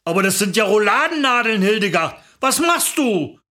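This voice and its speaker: A woman's voice: medium pitch, very animated, normal speed, pushed and bright. A woman doing a trembling, panicky husband. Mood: panicked. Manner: trembling, pleading. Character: nervous burly middle-aged husband, man.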